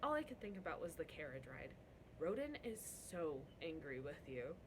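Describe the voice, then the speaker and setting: A woman voicing a young servant girl in a storybook read-aloud, soft, hesitant, earnest and worried. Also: low-pitched, sing-song, normal speed, background noise. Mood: earnest, regretful.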